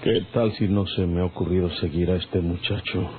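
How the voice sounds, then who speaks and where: An actor playing a bold, wry cowboy avenger with folksy speech and a Mexican accent in a radio drama. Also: male voice, deep voice, steady tone, moderate pace, lightly acted. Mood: brooding. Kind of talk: old radio drama acting. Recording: tinny, noisy old radio recording.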